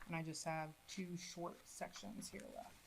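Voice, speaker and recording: A woman's voice: lowish voice, level tone, unhurried, gravelly, slight background noise. A woman at a college event reading her poems aloud in a declamatory, rising voice, with casual remarks to the audience in between. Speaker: poet reading her own work. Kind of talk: spoken-word poetry reading with asides.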